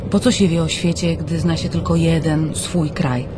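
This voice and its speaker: An actress playing an earnest, polite young Polish émigré journalist in a naturalistic radio drama. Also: female voice, sits low, level tone, normal speed, noisy background, lightly acted. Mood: wistful.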